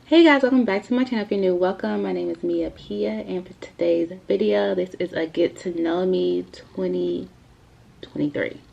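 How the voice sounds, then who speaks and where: A woman's voice: on the low side, normal speed, creaky, darkish tone, dull audio, slight background noise. A woman in her twenties chatting casually to the camera for her video Q&A, rambling with lots of fillers. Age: 20s. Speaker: YouTuber. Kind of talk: casual Q&A vlog.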